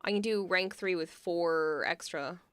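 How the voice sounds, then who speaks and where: A woman streaming a game and chatting casually, thinking aloud as she plans, her voice going hoarse. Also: on the low side, unhurried, no room sound. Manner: thinking aloud, hesitant, soft at times. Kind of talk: casual game livestream chat.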